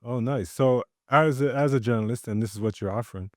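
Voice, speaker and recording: A man's voice: mid-range voice, brisk, a little mellow, no echo, studio quality. A man hosting a podcast, asking casual questions and encouraging the guest with frequent short replies. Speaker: podcast host.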